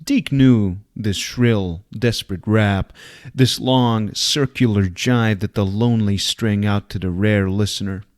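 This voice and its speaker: A man reading fiction aloud in a dramatic, expressive audiobook style. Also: fairly low pitch, measured pace. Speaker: reader.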